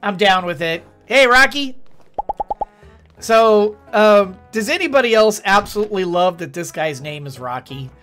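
A man's voice: sits high, lively intonation, measured pace, a bit bright, soft background music. A man in his forties giving chatty, joking let's-play commentary from a home gaming room. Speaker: gaming streamer.

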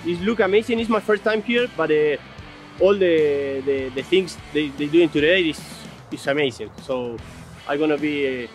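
A man, a polo player searching for words in halting English. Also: fairly high pitch. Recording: guitar music behind.

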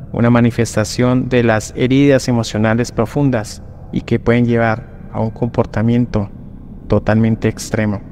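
A man reading a scripted horror legend in first person, slow and solemn, with drawn-out pauses. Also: mid-range voice, slight background noise. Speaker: narrator. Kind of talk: dramatic scripted horror narration. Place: voice-over over rainy night images. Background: soft background music.

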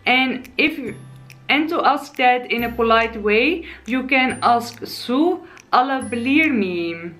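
A Dutch woman in her twenties with a Dutch accent, chatting on camera from her living room as she teaches basic words, and slowing down to spell them out. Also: on the high side, lively intonation, a bit bright, faint music behind. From Netherlands. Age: 20s. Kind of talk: casual vlog lesson. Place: living room, sofa, indoors. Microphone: camera in front, seated.

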